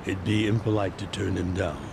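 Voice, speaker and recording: A gravelly old ex-yakuza boss. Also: male voice, sits low, fairly even intonation, background noise. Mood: calm, reflective. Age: older. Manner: slow, measured, reflective. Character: older retired male yakuza boss, weary and fatherly. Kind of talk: video game cutscene dub.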